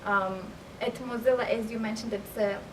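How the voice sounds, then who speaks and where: A woman's voice: on the high side, fairly even intonation, normal speed. Woman from Turkey, distant and noisy. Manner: earnest, storytelling, some hesitation. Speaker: accelerator program manager. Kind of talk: interview answers. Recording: distant mic, noisy ambience.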